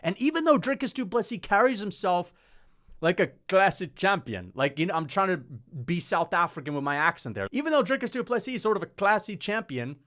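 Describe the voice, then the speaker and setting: Animated, foul-mouthed young man ranting. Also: high-pitched, fairly quick. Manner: animated, swears freely, rhetorical asides. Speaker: MMA commentary YouTuber. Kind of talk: casual opinion rant.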